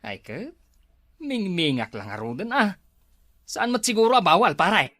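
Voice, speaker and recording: A man's voice: high-pitched, huge pitch swings, normal speed, fairly bright tone, no echo, studio quality, full character voice. A man with an Ilocano accent playing an admiring, envious neighbour in a village comedy drama. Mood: pleading. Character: admiring, envious neighbour friend eyeing a flashy car.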